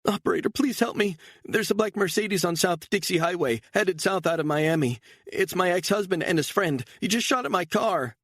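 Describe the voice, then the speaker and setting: A man voicing a terrified young woman who pleads and cries, raising his pitch high. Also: expressive, moderate pace, few pauses, a bit bright, no echo, studio quality. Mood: pleading, panicked. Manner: high, frantic, tearful pleading.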